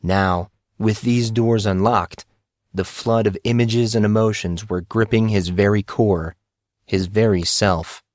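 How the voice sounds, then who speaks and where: A man reading scripted narration for an audio drama in a steady storytelling voice. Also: lowish voice, unhurried, dry recording, studio quality.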